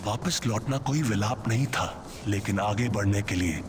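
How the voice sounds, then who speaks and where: A haunted young hero, narrated by a man in a dubbed game, low-voiced and racing through grave lines. Game ambience and noise hum underneath. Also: very fast, natural voice.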